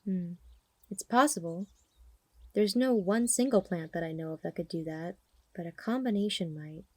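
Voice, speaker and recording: A woman playing a practical, caring healer for a werewolf pack in an audio drama, brisk and matter-of-fact. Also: fairly low pitch, no room sound, natural voice. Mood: thoughtful, caring. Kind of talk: audio roleplay acting.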